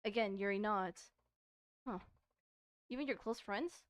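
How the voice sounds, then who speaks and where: A woman's voice: medium pitch, a little slow, no room sound, studio quality. A woman doing casual, playful let's-play commentary on a visual novel, reading the on-screen text aloud between her own asides. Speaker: streamer playing a visual novel.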